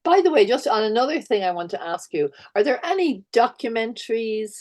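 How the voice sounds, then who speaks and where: A woman hosting a podcast interview, warmly encouraging her guest and asking follow-up questions. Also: a little high, very animated, fairly quick. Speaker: podcast host. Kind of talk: casual interview chat.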